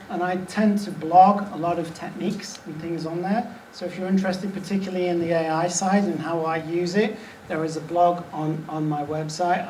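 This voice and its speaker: A man with a high voice giving a casual guest talk, hesitant and full of fillers. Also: darkish tone, a little hiss or hum. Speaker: guest speaker. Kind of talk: conference talk. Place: large expo hall. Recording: roomy hall, mic far off.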